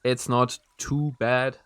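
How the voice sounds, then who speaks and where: A man's voice: medium pitch, level tone, drawn-out words, fairly bright tone, clear, resonant tone, no echo. A man streaming a video game, thinking aloud in a rambling way and chatting with his viewers. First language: German. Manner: answers chat messages as he plays. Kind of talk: casual live-stream chat while playing.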